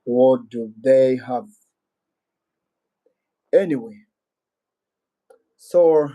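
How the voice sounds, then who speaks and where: Male live-streamer with a Ugandan accent, slow and rambling, voice in a middle register. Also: mid-range voice, dark tone, rough recording. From Western Uganda. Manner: rambling, muses aloud and reads viewer comments. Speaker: YouTuber hosting a live Q&A. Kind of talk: casual live-stream chat.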